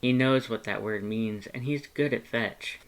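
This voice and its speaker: A man voicing a gentle, tentative animal shelter worker in a story, speaking softly and politely with some hesitation. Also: mid-range voice, a little slow, clean tone, close to an everyday voice, poor audio. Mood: tentative, friendly. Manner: soft-spoken, hesitant, polite.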